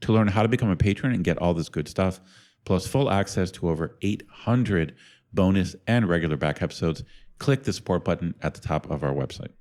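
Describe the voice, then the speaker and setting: A man co-hosting a podcast, easygoing in manner, with a very deep voice. He reads the show's announcements in a smooth, conversational way. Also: animated, a little fast, darkish tone. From United States. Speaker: podcast co-host. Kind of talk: scripted ad read and casual chat.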